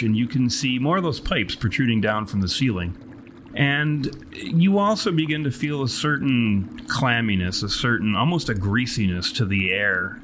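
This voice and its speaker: A man's voice: medium pitch, a bit bright, a little hiss or hum. A man narrating atmospherically as game master of a tabletop game, in formal, literary wording.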